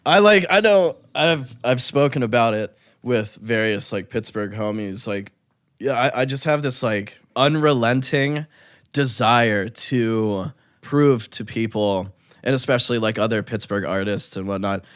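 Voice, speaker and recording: A man's voice: mid-range voice, measured pace, no echo, studio quality. A young man guesting on a podcast, talking in a loose, rambling way with lots of likes and ums. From United States. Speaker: guest musician. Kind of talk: casual interview chat.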